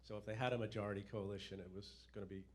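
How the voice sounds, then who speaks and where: A man's voice: fairly low pitch, fairly even intonation, rapid-fire. Self-assured man on a panel. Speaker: panel guest. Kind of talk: panel discussion.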